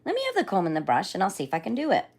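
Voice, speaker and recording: A woman voicing a grumpy, vain, hungry male lion in a storybook, yelling and blustering. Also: mid-range voice, huge pitch swings, rapid-fire, slightly rough, exaggerated voice. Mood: delighted.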